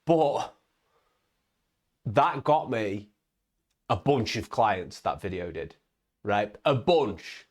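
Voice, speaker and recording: A man's voice: lowish voice, big pitch swings, extremely slow, pauses often. A young man giving a casual motivational talk to his viewers, emphatic, with frequent "right?" tags and stumbling restarts. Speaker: vlogger on online business.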